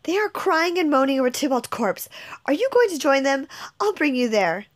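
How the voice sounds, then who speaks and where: Brief: fussy old nurse, a woman reader's voice, high and loud, wailing her laments. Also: big pitch swings, moderate pace. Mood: reassuring.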